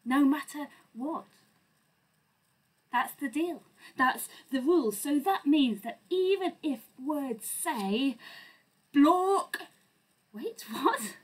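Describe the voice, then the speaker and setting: A woman in her thirties reading a children's picture book aloud in a theatrical, expressive, deliberate way at home. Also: high voice. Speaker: storyteller. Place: small home room lined with bookshelves.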